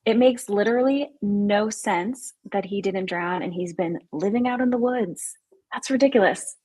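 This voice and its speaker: A husky young female camp counselor, acted by a woman in a horror script read. She is sardonic and skeptical, in a mid-range voice with a rough edge. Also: mid-range voice, moderate pace, dark tone, lightly acted. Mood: exasperated.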